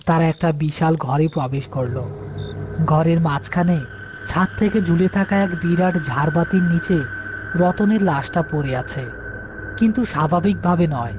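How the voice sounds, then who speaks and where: A man's voice: fairly high pitch, moderate pace, background noise. A man narrating a scripted horror story as its storyteller. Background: background music.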